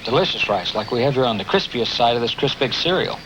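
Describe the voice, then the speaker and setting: A man's voice: mid-range voice, expressive, fast talker, natural voice. A man playing a proud, stubborn rice-farming father in a television commercial. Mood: proud, dismissive. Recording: noisy old TV commercial audio.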